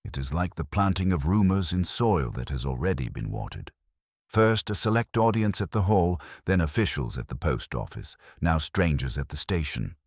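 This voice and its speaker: A man performs a courteous police inspector from a mystery story. His very deep voice rises and falls expressively while staying gentle and formal. He chooses each phrase with calm deliberation, in a dry, close sound. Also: moderate pace, breathy edge, dry recording. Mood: grave, deliberate.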